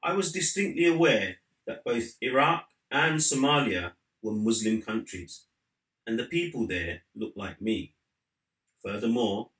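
Male author for a book reading: bright, lowish voice, measured narration, roomy living-room acoustic. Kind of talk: measured literary read-aloud narration. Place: living room at home, seated in an armchair. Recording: slightly roomy indoor sound.

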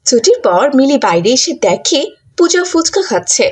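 A woman's voice: high-pitched, sing-song, normal speed, a little mellow, slightly rough. A woman reading scripted narration for a cartoon story.

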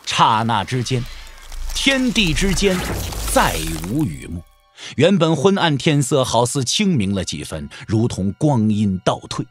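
A man's voice: mid-range voice, very animated, a little slow, fairly bright tone. A man narrating a martial-arts audio drama in a scripted, dramatic storytelling style. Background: background music.

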